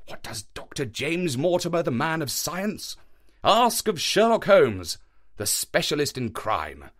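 A man voicing a brisk, self-assured gentleman detective, speaking in an emphatic, theatrical way with a high, lively, swooping delivery. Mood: excited. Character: brisk, eager gentleman detective, sharp and sure.

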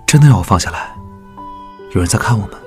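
An actor playing a calm, gently teasing young bridegroom in an audiobook drama. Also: male voice, on the low side, fast, faint music behind, natural voice. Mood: calm, tender.

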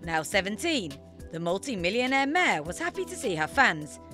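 A woman's voice: medium pitch, very animated, normal speed, sharp tone, faint music behind. A woman in her thirties presenting and narrating a scripted television feature as a reporter.

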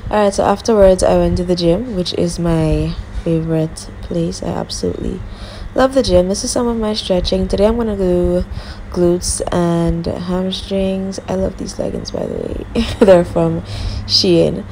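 A woman's voice: lowish voice, fairly even intonation, normal speed, mellow, a little hiss or hum, poor audio. A young woman in her twenties, chatty and easygoing, narrating a vlog in a casual voice-over. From Jamaica, raised in the Bahamas. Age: 20s. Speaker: vlogger.

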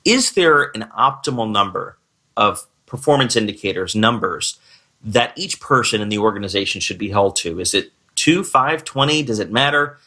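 A man hosting a podcast on recruiting, energetic in his intro and loose and chatty when asking questions. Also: on the low side, moderate pace. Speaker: podcast host. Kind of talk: podcast interview.

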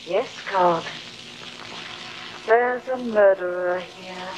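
Vengeful ghost wife, extremely slow. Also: female voice, fairly low pitch, slight background noise, low-quality recording. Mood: accusing, vengeful. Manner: slow, haunting, insistent. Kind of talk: 1950s television horror acting.

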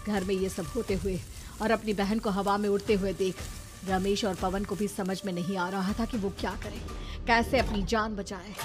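A woman's voice: mid-range voice, fairly even intonation, normal speed, music in the background. A woman narrating a scripted horror story.

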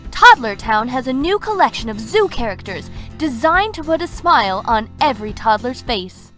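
Bright-voiced woman doing commercial voice-over. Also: fairly high pitch, very animated, normal speed, faint music behind. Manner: polished promotional delivery. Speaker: voice-over artist. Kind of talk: scripted commercial voice-over demo.

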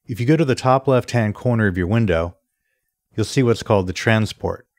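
A man presenting an instructional software screencast in a methodical, step-by-step way. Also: fairly low pitch, a little fast, no echo. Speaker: tutorial presenter.

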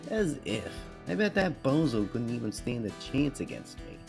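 A man's voice: medium pitch, big pitch swings, normal speed, vocal fry, music in the background. A man voicing a boastful teenage boy who plays superhero in a comic dub cartoon, pompous and bragging.

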